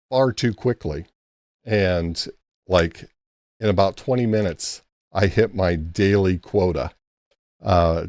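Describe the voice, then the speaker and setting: A man's voice: sits low, a little mellow, dry recording, clean studio sound. A man hosting a casual late-night ham radio live stream, rambling easily and thanking chat members by name.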